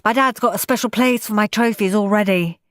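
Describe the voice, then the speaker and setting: A woman voicing a boastful, fast-running young schoolboy in a storybook reading. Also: medium pitch, fairly bright tone, no room sound, clean studio sound, lightly acted. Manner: boastful, chanting.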